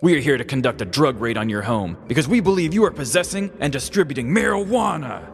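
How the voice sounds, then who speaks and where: A man's voice: medium pitch, lively intonation, fast, vocal fry, pitched above the performer's own voice. A man voicing a brash, commanding macho drug raid leader in a comedic dramatized story, with a theatrical bark. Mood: barking, aggressive. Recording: long reverb, music underneath.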